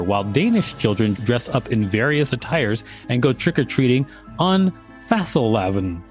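A male podcast host reading an article aloud in an irreverent, teasing way. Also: medium pitch.

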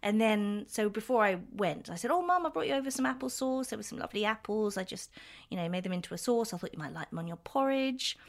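A woman's voice: medium pitch, expressive, quick pace, hardly any pauses. Chatty woman telling anecdotes. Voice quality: youthful-sounding voice she tries to smooth out. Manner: chatty anecdotal storytelling with frequent laughs. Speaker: podcast co-host. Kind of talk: casual chat.

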